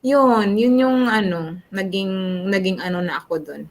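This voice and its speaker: A woman teacher in a casual group discussion on a video call, reflective and halting, mixing languages with fillers. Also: medium pitch, lively intonation.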